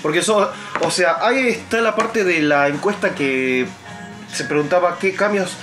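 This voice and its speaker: Young male Argentine host, slightly high voice, chatting casually around a table, loud music underneath. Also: normal speed. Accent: Argentine Spanish. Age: young adult. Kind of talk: casual group chat, discussing viewer survey. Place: small tatami inn room, indoors. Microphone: camera across the table.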